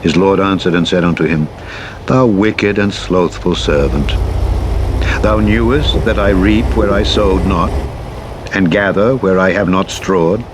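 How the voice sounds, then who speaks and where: A man giving a solemn, measured, formal and dramatic reading of scripture in archaic English, as a Bible narrator. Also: sits low. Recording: played over clearly audible vehicle engine noise. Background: truck engine and road noise from a driving game.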